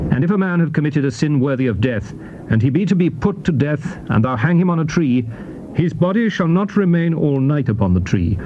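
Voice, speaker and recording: A British man narrating scripture in a measured, formal, declamatory style on a dull, noisy old cassette recording. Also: on the high side, warm tone. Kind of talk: solemn dramatized Bible reading.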